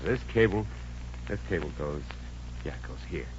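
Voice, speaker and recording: A man's voice: low voice, animated, moderate pace. An actor playing a flustered man struggling to work in a 1950s radio comedy, muttering and bursting into exasperation. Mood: harried. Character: harried, exasperated bridge designer trying to work. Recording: noisy, muffled old radio recording.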